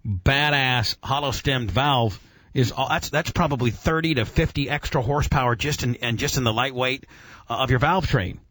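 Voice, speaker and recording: A man's voice: mid-range voice, moderate pace, a bit bright, no room sound. A man hosting a casual radio show, explaining and quizzing with an enthusiastic, profane, teacherly manner.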